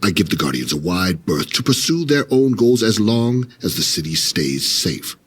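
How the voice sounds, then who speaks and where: An actor playing a stern, angry military commander in an audio drama, scolding with authority. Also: male voice, sits low, sing-song, moderate pace, fairly bright tone, breathy edge, recorded from a distance, heavily acted. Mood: angry, commanding.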